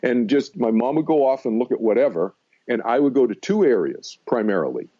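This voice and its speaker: An American man in his sixties with a mellow, dark voice, talking as an interview guest. He tells stories emphatically and loops back over his points. The sound is slightly dull and lo-fi. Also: mid-range voice, animated, brisk. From Massachusetts, United States. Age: 60s. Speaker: interview guest, meditation teacher. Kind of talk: conversational radio interview, personal storytelling.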